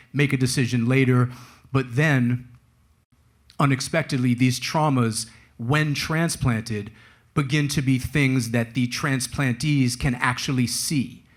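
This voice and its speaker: Man, guest author, conversational storytelling, wry and slangy, live book talk. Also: mid-range voice.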